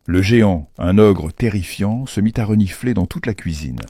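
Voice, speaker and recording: A man narrating a children's fairy tale from a script in an expressive, playful storytelling manner. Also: lowish voice, moderate pace, slightly rough, no echo, clean studio sound.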